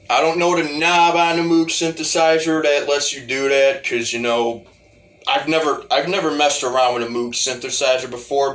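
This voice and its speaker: A man's voice: fairly high pitch, fairly bright tone. A man giving a casual, rambling demo as a hobby musician, often restarting his phrases. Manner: rambling, repeats starts of phrases. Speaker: hobbyist talk box musician.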